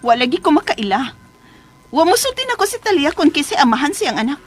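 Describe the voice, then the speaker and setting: A woman's voice: sits high, very animated, brisk. A woman acting in a Philippine radio drama as a troubled young wife, defensive and plaintive, with a Filipino accent. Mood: evasive, upset.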